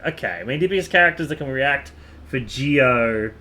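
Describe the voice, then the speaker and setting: A man's voice: medium pitch, expressive, normal speed, bright, forceful tone. A man streaming a game in casual chat, rambling and thinking aloud with the odd casual swear, over noticeable background noise. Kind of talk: casual live stream chat while gaming.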